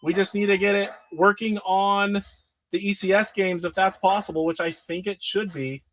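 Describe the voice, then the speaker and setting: Sick, nasal man with a high voice. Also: steady tone, normal speed, fairly bright tone, poor audio. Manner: rambling, demonstrating games live. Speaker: retro gaming show host. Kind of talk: casual live stream chat.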